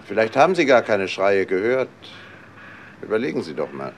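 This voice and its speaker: Doctor in an old crime radio play, probing n guarded, very animated pitch, noisy sound. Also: male voice, normal speed. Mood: probing, teasing. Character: educated male doctor, probing, guarded, slightly sardonic.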